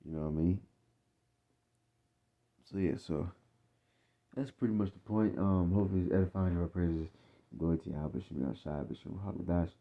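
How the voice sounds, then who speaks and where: Deep-voiced American man, muffled phone-like sound. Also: moderate pace, creaky, pauses often. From United States. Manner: blunt, profane, repetitive street-talk delivery with frequent check-in phrases. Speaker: religious teacher. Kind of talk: informal off-the-cuff religious teaching.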